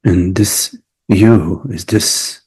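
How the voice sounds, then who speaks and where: A man leading a guided meditation as a teacher, speaking reflectively in short phrases with long pauses between them. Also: deep voice, steady tone, a little mellow, breathy edge.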